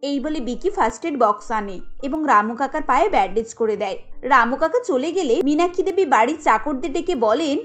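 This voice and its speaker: A woman's voice: high voice, lively intonation, brisk. A woman narrator reading a scripted cartoon family story. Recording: light music under the voice.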